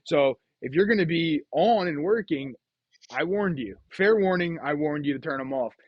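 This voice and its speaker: A man's voice: a little high, expressive, moderate pace, no echo. Casual man, dry and slightly muffled. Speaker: host of a gambling storytime show. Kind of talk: casual chat, storytelling about craps.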